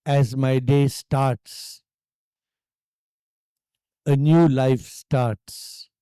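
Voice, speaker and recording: An Indian-accented man leading a guided meditation as a teacher, speaking calmly and deliberately with long pauses. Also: medium pitch, clear, resonant tone, dry recording, clean studio sound. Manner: calm, hushed, deliberate phrasing with long pauses.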